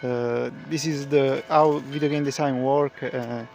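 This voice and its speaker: A man whose first language is Italian, commenting casually on a stream for a reaction video, halting and searching for his words. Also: medium pitch, fairly even intonation, gravelly, darkish tone, faint music behind.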